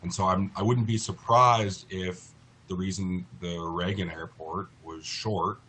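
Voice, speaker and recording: Low-voiced American man, explaining over background noise. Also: fairly even intonation, a little slow, pushed and bright. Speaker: interview guest, lawyer in a class action. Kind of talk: explanatory interview talk.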